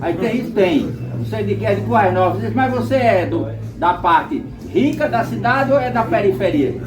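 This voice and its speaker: High, lively man in an echoey room. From Rio Grande do Norte, Brazil. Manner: measured, explanatory, rhetorical questions. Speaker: panel speaker. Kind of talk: lecture-like discussion. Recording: echoey room, distant mic, music behind.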